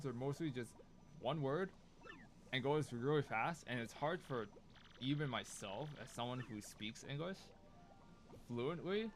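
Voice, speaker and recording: A man's voice: medium pitch, a little hiss or hum. A man whose first language is Cantonese, talking casually while playing a game. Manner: chatty, thinking out loud. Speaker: gaming streamer. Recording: game music clearly behind voice. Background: video game music.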